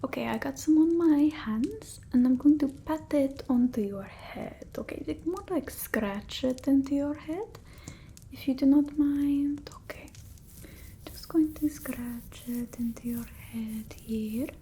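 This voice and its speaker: Woman acting a slow, whispery vampire mistress. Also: high voice, a little hiss or hum. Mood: soothing, protective. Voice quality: soft, breathy, often whispered. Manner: halting, matter-of-fact, gently scolding. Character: bossy, doting female vampire tending her human familiar. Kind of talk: ASMR roleplay, soft speech mixed with whispering.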